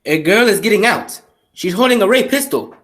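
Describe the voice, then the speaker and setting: A man's voice: high voice, lively intonation, fairly quick, fairly bright tone. A man acting a loyal, cautious space prince in an amateur radio serial, earnest and dramatic. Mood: worried.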